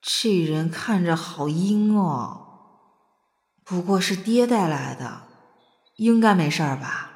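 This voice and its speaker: A woman playing a naive, plucky young princess in an amateur Chinese costume audio drama, with a girlish, expressive delivery. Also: fairly low pitch, slow, pauses often, slightly rough, exaggerated voice. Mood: wary, reluctant.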